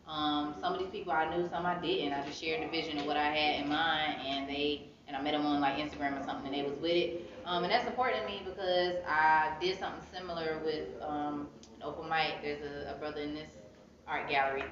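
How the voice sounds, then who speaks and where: A young American woman talking casually and reflectively as an interviewed author, recorded from a few feet away in an indoor gallery. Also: sits low. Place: indoor art gallery, seated against wall. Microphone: phone camera several feet away. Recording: echoey room, distant mic, dull sound, crowd noise.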